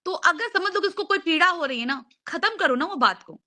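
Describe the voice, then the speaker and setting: High, bright-voiced young woman pleading. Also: lively intonation, brisk. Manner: earnest, insistent, repetitive pleading. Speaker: live stream host. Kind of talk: casual live chat, pleading mediation.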